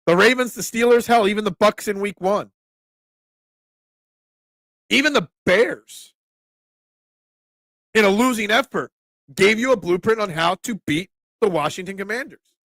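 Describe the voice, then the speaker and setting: A man's voice: sits high, a little slow, long pauses, fairly bright tone, no echo. A young man with a Philadelphia accent hosting a casual sports talk stream, chatting loosely and answering viewers by name. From Philadelphia area. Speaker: sports talk show host.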